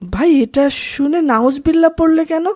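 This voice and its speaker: A woman plays an eager young boy in a cartoon, high-voiced and curious. His delivery is earnest and questioning, recorded dry. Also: lively intonation, moderate pace, a little mellow, dry recording. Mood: admiring, curious. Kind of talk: children's cartoon voice acting.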